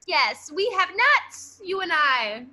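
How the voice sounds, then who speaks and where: Eager, gushing female reporter, acted by a woman; very high, sharp voice for improv comedy. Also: big pitch swings, slow, heavily acted. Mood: confused. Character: excitable, gushing, eager woman news reporter after a scoop.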